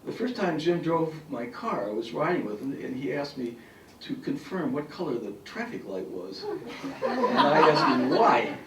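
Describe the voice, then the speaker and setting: A retired professor in his later years speaks with a raspy, hoarse voice. He rambles through stories full of fillers. The sound is echoey and comes from across the room. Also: on the high side, sing-song, normal speed, a bit bright, recorded from a distance, slight background noise. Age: older. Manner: rambling anecdotes with frequent fillers. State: hoarse from bronchitis. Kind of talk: speaking at a farewell event. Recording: echoey hall recording from afar.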